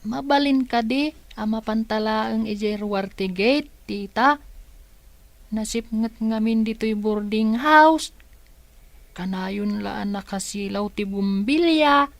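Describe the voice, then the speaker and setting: A woman's voice: a little high, takes it slowly, vocal fry, no echo. A woman playing an eager young child in a radio drama, coaxing and wheedling. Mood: curious, hopeful. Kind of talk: Ilocano radio drama acting.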